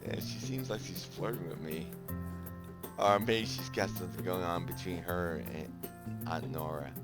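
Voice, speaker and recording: Man acting as young game protagonist, low voice, easygoing, bashful, casual hesitant delivery, music behind. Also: moderate pace, natural voice. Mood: musing. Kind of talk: visual novel game voice acting with inner narration.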